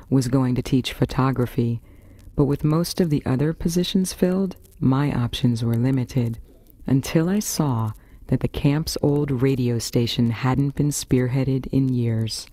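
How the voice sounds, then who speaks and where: A woman's voice: extremely low pitch, dark tone, no room sound. A woman with an American accent, hosting a variety radio show with scripted first-person storytelling. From Florida, United States.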